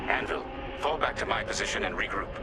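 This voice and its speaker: A man's voice: lowish voice, expressive, fast talker, heavy background noise, close to an everyday voice. A man acting as an intense military starfighter pilot in a video game, giving urgent, clipped combat calls.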